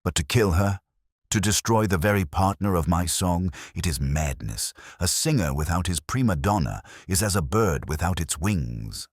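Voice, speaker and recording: Casting: male narrator as a proud storybook opera star, indignant, florid delivery, deep voice with swooping intonation. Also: sing-song, moderate pace, slightly rough, dry recording, clean studio sound, heavily acted. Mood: outraged. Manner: declamatory, florid.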